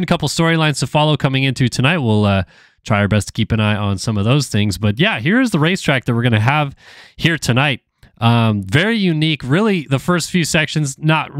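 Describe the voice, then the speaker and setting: A man's voice: medium pitch, no echo, studio quality. A man giving casual live commentary on a simulated motocross race, slightly nasal from a cold, with plenty of ums and uhs. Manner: casual, talkative, frequent fillers. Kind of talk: live sim racing commentary.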